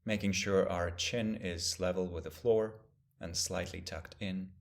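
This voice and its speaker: A man teaching a guided meditation, speaking gently and in measured phrases with long pauses. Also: sits low, fairly even intonation, creaky.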